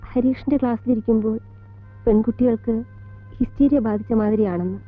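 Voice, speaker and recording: An actress playing a composed middle-aged college teacher in a film drama, speaking in a measured Malayali accent with deliberate pauses between short phrases. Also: female voice, a little high, fairly even intonation, natural voice. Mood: sombre, reflective. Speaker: actor in a film. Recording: tinny band-limited old film soundtrack, music behind.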